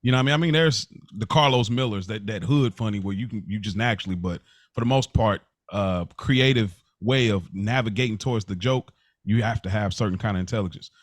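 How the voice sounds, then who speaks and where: A man's voice: fairly low pitch, brisk, dry recording. A man in casual, opinionated podcast discussion, talking slangily and repeating phrases for emphasis. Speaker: podcast co-host.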